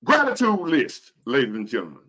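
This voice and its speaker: A man in his fifties giving earnest, preachy motivational talk to his viewers, repeating key phrases and swinging between loud and hushed. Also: mid-range voice, huge pitch swings, a little fast. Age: 50s. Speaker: motivational vlogger.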